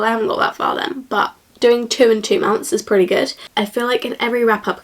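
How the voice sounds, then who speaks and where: Young woman vlogger with a cold, stuffy nasal sound, casual rambling book chat to a camera at home. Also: medium pitch. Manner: rambling, chatty, lots of "like" and "kind of". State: blocked nose from a cold. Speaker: book reviewer. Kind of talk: casual chatty vlog.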